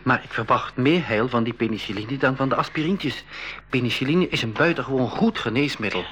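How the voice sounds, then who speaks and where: A man playing a curious young boy adventurer in a school radio drama, with a slightly husky voice. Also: mid-range voice, fairly quick, fairly bright tone, slight background noise, close to an everyday voice. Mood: earnest. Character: Piet, curious young boy adventurer who also narrates. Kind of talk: 1980s school radio drama acting and narration.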